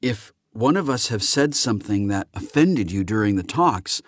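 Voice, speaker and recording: A man's voice: lowish voice, lively intonation, moderate pace, no room sound, studio quality. A man voicing a young human diplomat in an audiobook, earnest, polite and anxious to placate. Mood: placating.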